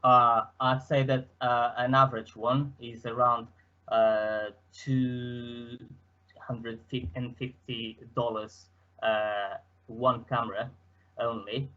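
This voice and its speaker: Man, Portuguese accent, young adult, halting slide presentation, lots of fillers, medium pitch, muffled lo-fi sound. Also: flat delivery. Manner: hesitant, many fillers and restarts. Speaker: student presenter. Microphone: headset.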